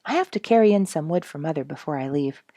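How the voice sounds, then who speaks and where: A woman's voice: low voice, expressive, quick pace, mellow, dry recording, studio quality. A woman reading the lines of eager, kind farm children in a storybook, in an earnest way. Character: kind, busy farm boy and girl.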